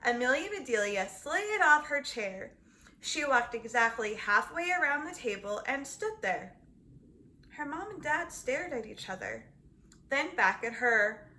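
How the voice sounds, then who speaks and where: A woman storyteller reads a children's book aloud. Her voice is fairly high and very bright, and she places emphasis deliberately and expressively. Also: sing-song.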